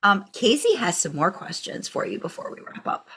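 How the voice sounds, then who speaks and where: A woman's voice: mid-range voice, wildly swooping pitch, normal speed, pushed and bright, husky. A woman co-hosting a podcast, asking questions in an informal, encouraging, chatty way. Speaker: podcast co-host. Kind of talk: casual interview chat.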